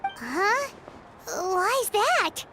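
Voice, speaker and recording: A woman voicing a tiny, excitable, cheeky fairy-like companion in an anime game, with a squeaky childlike voice and exclamatory delivery. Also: extremely slow, noisy background, exaggerated voice. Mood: puzzled, skeptical. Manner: exclamatory, exaggerated, refers to self in third person. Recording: loud game sound behind voice.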